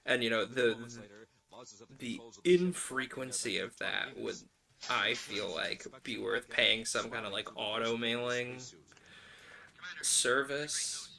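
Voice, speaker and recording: A man with a bright, forward voice talks casually as a livestream host while doing handiwork. He rambles in a wry way and slips in playful asides. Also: medium pitch, slow, vocal fry, rough recording. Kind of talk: casual chat while hand sewing.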